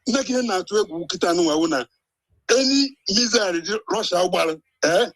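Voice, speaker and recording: A man's voice: high voice, animated, fairly quick, a bit bright, no echo. A Nigerian man joining a live stream as a guest, talking insistently and checking in with the host. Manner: insistent, keeps checking the listener with "eh?". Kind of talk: casual chat mixing Igbo and English.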